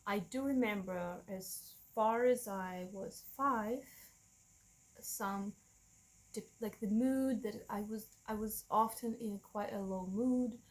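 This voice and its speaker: A woman in her thirties with a Russian accent, whose first language is Russian, telling a personal story to camera in a candid, halting way, with frequent restarts and soft nervous laughs. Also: mid-range voice, low-quality recording. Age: 30s. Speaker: vlogger.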